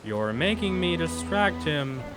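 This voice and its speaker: A man's voice: a little high, sing-song, unhurried, over music. A man playing a nervous, reluctant young sidekick in an audio drama, full of doubts and complaints. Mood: reluctant, exasperated.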